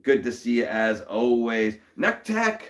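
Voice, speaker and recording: A young man chats casually as host of a live comic-book stream. His voice is a little hoarse from a cold, but he stays upbeat and his pitch rises and falls a lot as he welcomes viewers by name. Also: big pitch swings, a little slow.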